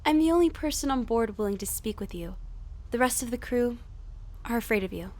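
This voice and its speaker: A woman voicing an earnest, idealistic cartoon therapist with a measured, formal, articulate delivery. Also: fairly high pitch, animated, noisy background, lightly acted. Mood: calm, firm. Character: earnest, composed female therapist, idealistic and firm. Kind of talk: animated film voice acting.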